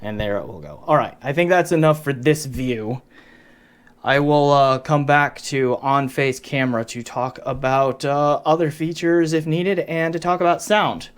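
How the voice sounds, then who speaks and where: A man's voice: a little high, unhurried, smooth. A man giving a casual, unscripted gear review, explaining things conversationally and often breaking off his own sentences. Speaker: product reviewer.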